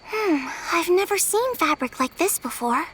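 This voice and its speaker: A woman voicing a thoughtful, gentle young helper in a fantasy video game, earnest and measured. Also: extremely high pitch, lively intonation, a little hiss or hum, exaggerated voice.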